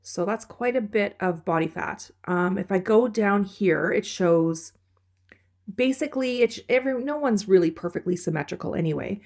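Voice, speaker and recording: A woman's voice: mid-range voice, moderate pace, a little mellow. A woman in her thirties vlogging casually, nasal and stuffed up from a head cold. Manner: chatty, rambling explanations. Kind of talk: casual vlog talk.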